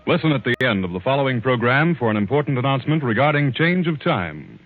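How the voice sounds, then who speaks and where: A man's voice: medium pitch, very animated, quick pace, dry recording. A man working as a radio announcer in a dramatic 1940s broadcast style. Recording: narrow-band old radio recording, loud music. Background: loud music.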